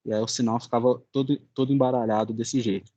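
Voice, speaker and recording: A young man with a Brazilian Portuguese accent, giving an informal student presentation in a halting, colloquial way. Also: medium pitch, steady tone, dark tone, no echo, lo-fi sound. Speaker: student presenting a final project.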